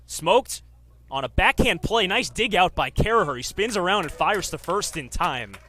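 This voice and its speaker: A man's voice: high voice, lively intonation, normal speed, bright, forceful tone. A man in his twenties calling a baseball game live as a radio play-by-play announcer. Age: 20s. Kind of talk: live sports commentary.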